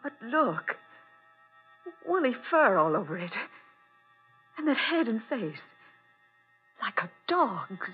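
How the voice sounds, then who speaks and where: An actress playing a frightened, horrified wife in a 1940s radio horror drama, breathless and melodramatic. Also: female voice, a little high, sing-song, takes it slowly, long pauses, husky, soft background music, full character voice. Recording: muffled old radio recording.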